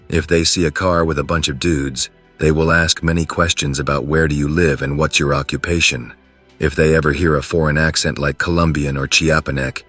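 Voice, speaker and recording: A man with a remarkably low, heavy voice reads anonymous forum posts in a flat, matter-of-fact way. Faint music plays underneath. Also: dry recording. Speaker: narrator reading forum posts. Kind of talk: scripted narration.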